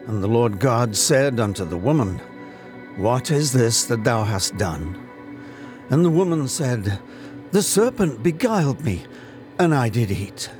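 A man giving a solemn, deliberate, theatrical dramatic reading of scripture as a trained stage actor would. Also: mid-range voice, big pitch swings, darkish tone, soft background music.

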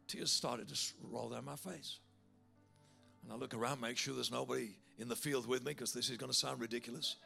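A preacher man with a big, gravelly voice carries his sermon with a bright, forceful tone. He tells his story with energy, then urges his listeners on with growing fervour. Soft music plays beneath him. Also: mid-range voice, fairly quick. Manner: animated storyteller, building to loud fervent altar call.

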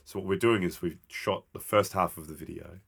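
Stuffy-nosed man, gravelly. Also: sits low, brisk, fairly bright tone. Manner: rambling, with many restarts and fillers. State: stuffed-up nose from a cold. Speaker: podcast co-host. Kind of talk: casual chat.

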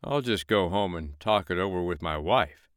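A man voicing a simple, easygoing peasant woodchopper in a storybook reading, close to his own low, smooth voice. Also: expressive, moderate pace, fairly bright tone, dry recording. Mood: mild.

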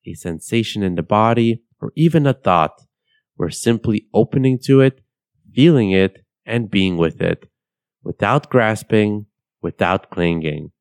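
A young man from Bulgaria hosting a podcast, guiding a meditation in a calm, measured, soothing way. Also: fairly low pitch, no echo, clean studio sound. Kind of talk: guided meditation narration. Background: soft guitar music.

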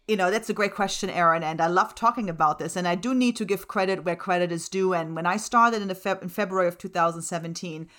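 A woman's voice: on the low side, fast, hardly any pauses, fairly bright tone. A middle-aged woman from Germany, a native speaker of German and Slovak, explaining things in an articulate, earnest way as an interview guest. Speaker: interview guest, writing center director and associate professor.

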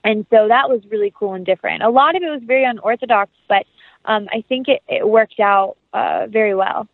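A woman's voice: on the high side, fairly quick, dry recording. A woman giving a casual interview as an actress guest, chatty and enthusiastic, over a call. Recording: narrow phone-like line.

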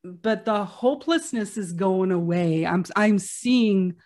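A woman's voice: medium pitch, animated, slow, darkish tone. Chatty woman in her fifties. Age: 50s. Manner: chatty and self-revealing, with frequent restarts and laughs. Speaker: podcast host. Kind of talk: casual interview chat.

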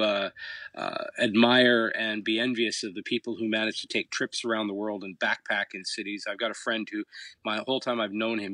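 A middle-aged man from Canada with a fairly low voice, talking about his life in a relaxed, reflective way. He tells his stories with a few hesitant uh's along the way. The sound is dry and close, with no room around it. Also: dry recording. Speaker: musician guest. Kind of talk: relaxed interview answers.

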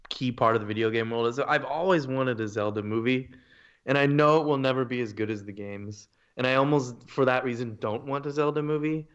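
Man, medium pitch, smooth voice, lo-fi sound, earnest podcast chat, thinking aloud. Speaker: podcast co-host. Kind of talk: casual podcast discussion.

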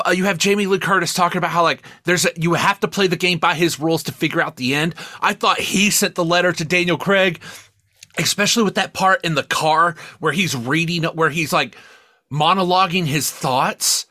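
An excitable man co-hosting a casual podcast film chat. His voice sits a little high and is clearly bright and forward, recorded dry. He rambles eagerly and swears freely. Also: moderate pace, dry recording. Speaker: podcast host.

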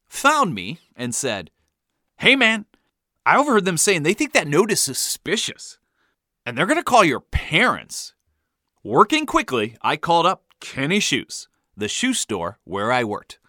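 A highly animated American man narrates a wry comic memoir. His pitch swings widely and his tone is bright and punchy. The sound is dry, with a theatrical storyteller's delivery. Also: no room sound. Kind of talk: scripted comedic memoir narration.

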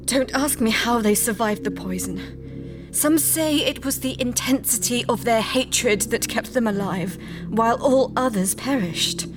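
A woman playing a slow, cryptic alien sage over music. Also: lightly acted. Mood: grave. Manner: measured, cryptic, low whispers. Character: calm, wise, secretive alien woman. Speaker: alien guide. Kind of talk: audio drama acting. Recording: music score underneath.

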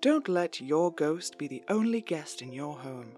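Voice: mid-range voice, expressive, a little slow, darkish tone, natural voice. A slick, salesy announcer played by an actor swoops expressively through a spoof commercial. The delivery is over-sympathetic, with some music behind it. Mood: salesy, soothing. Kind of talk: parody commercial read.

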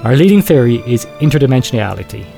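A man playing a grave researcher, telling a horror confession in a measured, clinical and ominous way. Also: medium pitch, sing-song, creaky, over music. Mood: clinical dread. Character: grave male researcher confessing secret horrific experiments. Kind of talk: dramatic horror storytelling, read aloud.

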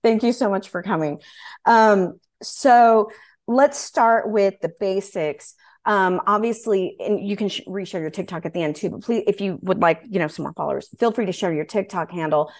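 A woman's voice: mid-range voice, moderate pace, darkish tone. A woman in her forties hosting a podcast in a casual, candid, emphatic and informal way. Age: 40s. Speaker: podcast host. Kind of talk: casual chat.

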